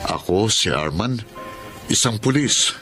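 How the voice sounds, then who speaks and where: A man's voice: fairly low pitch, animated, a little slow. An actor playing an earnest, long-absent policeman father in a melodramatic radio drama, with a Filipino accent. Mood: solemn reflective. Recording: loud music under the voice.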